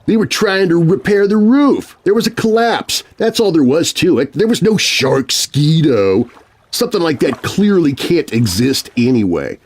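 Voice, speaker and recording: A man's voice: fairly high pitch, sing-song, moderate pace, pitched above the performer's own voice. A man voicing a cocky, scoffing teenage middle brother in a children's scary story, with a dismissive, arrogant manner.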